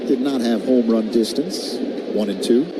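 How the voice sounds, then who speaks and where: A man's voice: medium pitch, normal speed. A man calling live baseball play-by-play on the radio in clipped phrases that build with the action. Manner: clipped phrases, builds to shouts on big plays. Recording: loud constant stadium noise behind.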